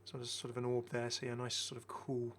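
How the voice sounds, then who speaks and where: A man in middle age hosts a gaming video in a medium-pitched voice. He talks casually and with enthusiasm, circling back to repeat phrases as he comments on what he is showing. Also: monotone, brisk, breathy edge. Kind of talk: casual game skin showcase commentary.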